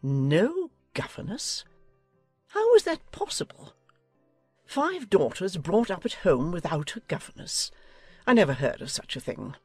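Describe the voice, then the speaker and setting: A woman's voice: lowish voice, very animated, unhurried, slightly rough, dry recording, faint music behind, full character voice. A woman playing an imperious, nosy older noblewoman in a dramatized audiobook, haughty and decisive. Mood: incredulous, disapproving. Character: imperious, nosy older aristocratic English lady.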